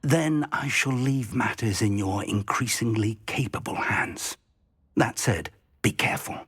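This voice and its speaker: An older man voicing a calm, careful wizard mentor who speaks slowly and deliberately. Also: fairly low pitch, breathy edge, no room sound, clean studio sound, exaggerated voice.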